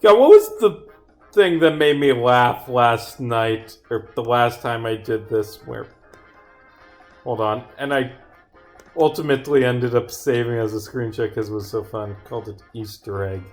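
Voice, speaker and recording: A man's voice: medium pitch, unhurried, darkish tone. Muttering, sweary male game-dev streamer over music. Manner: mutters to self, sweary, exclamatory. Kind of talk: casual livestream commentary while bugfixing, reading dialogue aloud.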